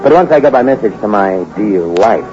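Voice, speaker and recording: A man's voice: lowish voice, lively intonation, a little fast. A man narrating and acting the lead in a 1940s noir radio drama, speaking in a hard-boiled, clipped, sardonic way. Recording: muffled old radio recording with music.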